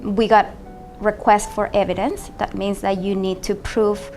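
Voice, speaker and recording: A woman from Caracas, speaking English with a Venezuelan accent. Her voice is noticeably gravelly with vocal fry, and she explains things in a measured way with a quietly sorrowful tone. Music plays clearly beneath her. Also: medium pitch, little pitch movement. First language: Spanish. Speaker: ballet mistress and company manager. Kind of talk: personal testimony interview. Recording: music under the voice.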